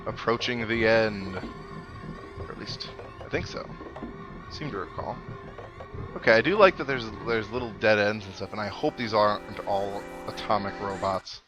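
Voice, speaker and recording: A man's voice: lowish voice, moderate pace, poor audio. A man giving casual video game commentary as a let's play host, thinking aloud and reacting as he goes. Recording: loud game music behind. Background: video game music.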